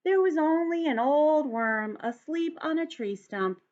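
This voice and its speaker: A woman voicing a friendly, patient male frog who tells stories in a children's storybook, with measured, expressive delivery. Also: high voice, pitched above the performer's own voice. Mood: wistful, storytelling. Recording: dull, lo-fi home recording.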